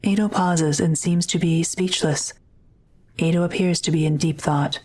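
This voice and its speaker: A woman's voice: deep voice, steady tone, normal speed, darkish tone. A woman reading scripted narration for an audio drama as its narrator.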